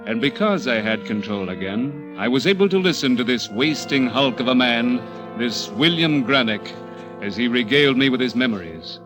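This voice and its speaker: An American man acting as a cunning, controlling young murderer in a radio thriller, with an insinuating, halting, deliberate delivery. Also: medium pitch, lively intonation, over music, natural voice. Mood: calculating, confident. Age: young adult. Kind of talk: radio thriller acting with first-person narration.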